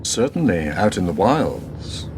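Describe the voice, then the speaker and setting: Man acting an animated, obliging colleague. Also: low-pitched, takes it slowly, over music, heavily acted. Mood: agreeable. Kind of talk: radio drama acting. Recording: clearly noisy background.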